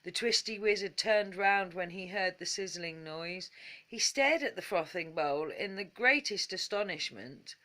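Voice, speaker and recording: Slow calm woman reading a bedtime story. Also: lowish voice. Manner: gentle, unhurried.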